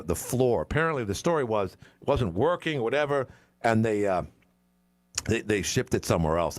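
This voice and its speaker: A sixty-year-old man hosting call-in talk radio. He rambles and restarts his thoughts, his pitch swinging widely. The sound is close and dry, with no room in it. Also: darkish tone, dry recording. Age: 60s. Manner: rambling, opinionated, self-interrupting. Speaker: radio talk show host. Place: radio studio.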